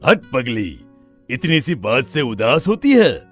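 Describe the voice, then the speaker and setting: A man's voice: mid-range voice, sing-song, takes it slowly, faint music behind. A man playing an affectionate village father in a cartoon story, indulgent, teasing and reassuring. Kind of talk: animated horror story acting.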